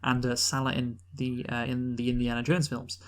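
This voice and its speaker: A man's voice: mid-range voice, little pitch movement, normal speed. A man co-hosting a casual, unscripted film review talk, giving emphatic opinions and repeating his points. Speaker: podcast co-host reviewing films.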